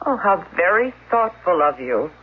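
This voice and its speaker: An actress playing a middle-aged mother in a radio drama, hesitant and placating, straining to appear calm. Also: on the low side, normal speed. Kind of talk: 1970s radio drama acting. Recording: muffled, noisy old radio recording.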